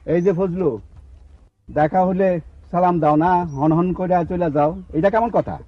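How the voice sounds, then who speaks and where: An actor playing a self-important village election candidate in a comic drama, bossy and wheedling, with a Bangladeshi accent. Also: male voice, sits high, a little slow, no room sound. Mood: scolding. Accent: Bangladeshi Bengali. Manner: pompous, insistent. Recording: dull lo-fi soundtrack.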